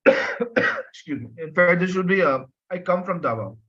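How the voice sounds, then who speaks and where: A male teacher with a fairly high voice leads an online French lesson. He explains things patiently, repeats himself and keeps asking the class to answer. The sound is dull and low-fidelity, like a video call. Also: animated, slow pace, sharp tone, breathy edge. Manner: patient, repeats himself, asks students questions. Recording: dull lo-fi audio.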